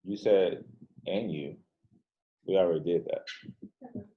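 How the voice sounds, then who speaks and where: A man's voice: low-pitched, slow pace, slightly rough, highs cut off. A man with a soothing voice casually walking through trading charts, laid-back and slangy with rambling asides. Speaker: forex trading host.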